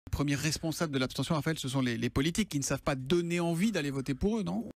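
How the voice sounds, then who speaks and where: A man hosting a radio segment, asking brisk prompting questions. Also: on the high side, no echo, clean studio sound. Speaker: radio host.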